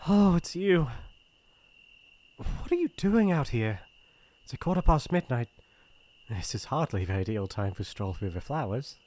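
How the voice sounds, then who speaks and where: A shy young crown prince acted by a man with a British accent, in a fantasy ASMR roleplay. He speaks in formal, courtly phrases with a gentle, earnest manner. His delivery is hesitant and easily flustered, at an ordinary male pitch. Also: lively intonation, moderate pace, lightly acted. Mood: surprised concern.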